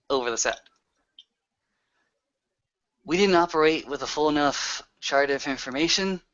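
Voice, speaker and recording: A man playing an earnest, reflective werewolf in an improvised roleplay, talking in a deliberate, halting way. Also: mid-range voice, bright, forceful tone, smooth. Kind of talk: improvised in-character roleplay conversation. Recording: dull lo-fi voice recording.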